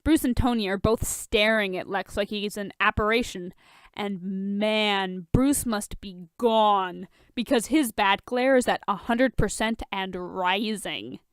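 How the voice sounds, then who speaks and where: Female storyteller for fiction reading: slow, expressive, higher voice, dry recording. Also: smooth, no room sound. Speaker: fan fiction narrator.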